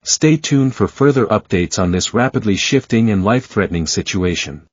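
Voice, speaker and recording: A man reading a scripted emergency alert bulletin in a formal, even, measured way. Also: fairly low pitch, no echo. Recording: dull lo-fi dry broadcast audio.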